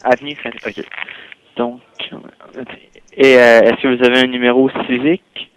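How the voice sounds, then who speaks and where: A man's voice: medium pitch, level tone, moderate pace, low-quality recording. Male hotel clerk, Quebec French accent, businesslike customer-service phone manner, with noise and clicks on the line.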